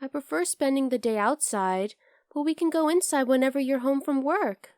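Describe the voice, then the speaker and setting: A very high, girlish bunny girl, played by a woman, recorded close and dry for an ASMR roleplay. She is teasing and outdoorsy, curious about humans, and blunt in a casual, playful way. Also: moderate pace, darkish tone, no echo, close to an everyday voice. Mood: casual, chatty. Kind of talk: ASMR roleplay addressed to the listener.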